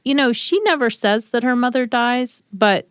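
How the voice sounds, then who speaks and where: A woman's voice: a little high, moderate pace, clear, resonant tone, no room sound, studio quality. A woman speaking casually and reflectively as a panelist in a radio discussion. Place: radio studio.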